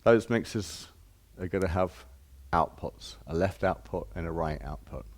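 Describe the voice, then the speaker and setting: A man's voice: low-pitched, unhurried. A man giving an informal hands-on demonstration as a shop presenter, explaining step by step in plain, casual words.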